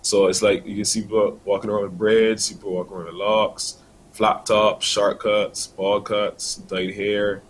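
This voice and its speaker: A young man from Barbados with a Barbadian accent, a university student answering interview questions earnestly, with many pauses and restarts. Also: fairly low pitch, little pitch movement.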